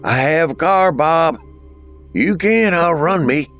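A man's voice: low voice, big pitch swings, moderate pace, faint music behind, full character voice. A man voicing a casual, skeptical older teenage brother in a story, slangy and exasperated. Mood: urgent.

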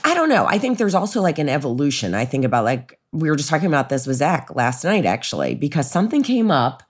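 A middle-aged American woman co-hosting a podcast, telling casual anecdotes in an animated way and often acting out quotes. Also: deep voice, fast talker. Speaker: podcast co-host. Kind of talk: casual conversational chat, anecdotes.

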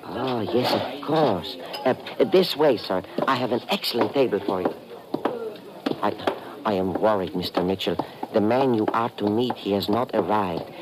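An actor playing an obliging, anxious Viennese waiter with a hesitant, formal manner in a 1950s radio drama. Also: male voice, on the low side, moderate pace, background noise, lightly acted. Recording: vintage radio recording with background chatter.

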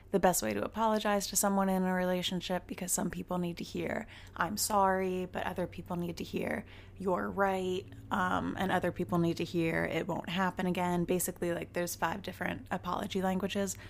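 A young woman in her twenties hosting a casual solo podcast, her voice raspy from just waking up, chatty and giggly with many fillers. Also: lowish voice, flat delivery, brisk, slight background noise. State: raspy morning voice. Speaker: podcast host.

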